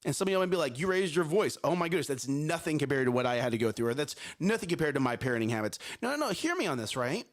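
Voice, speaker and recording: A man's voice: fairly high pitch, sing-song, quick pace, few pauses. A man co-hosting a live morning talk show, speaking in a strong, carrying voice. He talks energetically, repeats words and keeps checking in with "right?" and "okay?".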